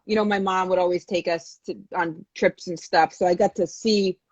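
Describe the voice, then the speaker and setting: A young adult woman from Maine chatting casually on a video call. Her voice sits a little low and comes through dull, lo-fi phone audio. She rambles, gets sidetracked and restarts her sentences. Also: steady tone, normal speed, darkish tone, husky, no room sound. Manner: rambling, easily distracted, self-correcting. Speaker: comedian guest. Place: indoors at home.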